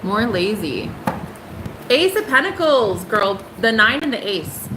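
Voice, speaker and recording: A young woman hosting a casual tarot livestream, sassy and emphatic, jumping high in pitch for punchlines. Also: very animated, slow pace, background noise.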